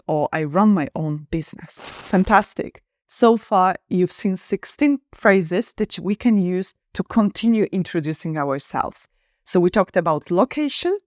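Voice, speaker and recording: A woman teaching an online English lesson, clear, upbeat and encouraging, slowing down to stress example phrases. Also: mid-range voice, darkish tone, no room sound, studio quality.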